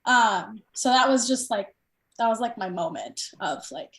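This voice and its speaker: A woman's voice: on the high side, lively intonation, a little slow, fairly bright tone. A young woman from India, a medical student, chatting casually in an interview with lots of fillers and an upbeat tone. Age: young adult. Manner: hesitant, filler-heavy, upbeat.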